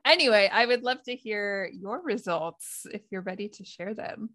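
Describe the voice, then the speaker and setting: A woman's voice: medium pitch, moderate pace, fairly bright tone. A woman with an American accent co-hosting a casual podcast, thinking aloud and laughing often. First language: English. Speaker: podcast co-host.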